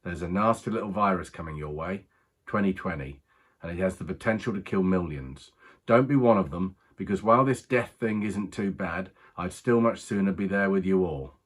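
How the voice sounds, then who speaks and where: A man acting a husky, low-voiced racing man. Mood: earnest warning. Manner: halting, confiding, colloquial, with pauses. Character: rueful working-class British racing man confiding a strange story. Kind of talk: dramatic monologue, conversational storytelling.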